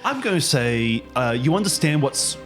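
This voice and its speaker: A man's voice: a little high, big pitch swings, normal speed. A man running a tabletop role-playing game as game master, talking casually and improvising as he goes. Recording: music playing behind the voice. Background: music.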